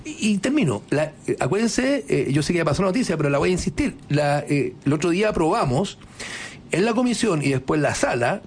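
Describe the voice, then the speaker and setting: A man's voice: a little high, big pitch swings, a little fast, breathy edge. A man with a Chilean accent, a congressman guest arguing emphatically on a political talk show, with frequent restarts. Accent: Chilean Spanish. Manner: emphatic, interjecting, many restarts.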